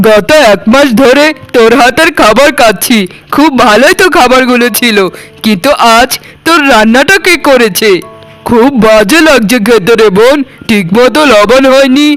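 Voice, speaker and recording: Man acting stern elder brother, cartoon melodrama, extremely high male pitch, reproachful, faint music behind. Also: a bit bright, rough recording. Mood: puzzled complaining. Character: stern, wounded elder brother in a village household.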